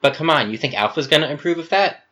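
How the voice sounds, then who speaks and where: A man's voice: fairly high pitch, expressive, fast, pushed and bright, voice pushed up. A man voicing an agitated young Survey, alarmed and demanding.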